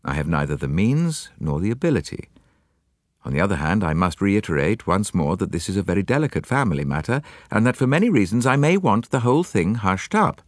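A man's voice: fairly low pitch, very animated, fairly quick, no room sound, studio quality. A man reading the letter of an anxious elderly woman, with a formal and cautious tone.

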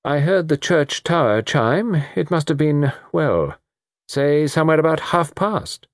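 A suave young foreign gentleman from an audiobook, performed by a man. He speaks with theatrical ease and light mocking defiance, pitched up and very animated. The recording is dry. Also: normal speed, dry recording. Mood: casual confession. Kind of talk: audiobook character dialogue.

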